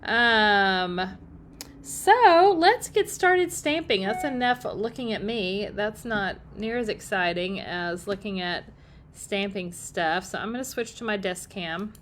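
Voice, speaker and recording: A middle-aged woman, slightly hoarse, chatting upbeat through a casual live crafting demo and greeting viewers by name. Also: mid-range voice, animated, takes it slowly, a bit bright. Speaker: paper-crafting demonstrator.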